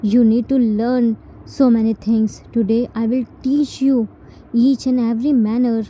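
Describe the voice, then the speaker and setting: A woman's voice: fairly high pitch, level tone, takes it slowly, warm tone, poor audio. A woman voicing a stern, hot-tempered young man. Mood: scolding. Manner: scolding, commanding.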